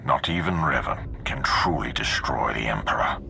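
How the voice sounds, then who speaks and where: An actor playing a stern, menacing Sith lord in a sci-fi game, speaking formally and ominously in measured phrases. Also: male voice, lively intonation, background noise, heavily acted. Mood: grave, ominous. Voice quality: very deep, gravelly. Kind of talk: dramatic sci-fi game dialogue. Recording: music behind the voice.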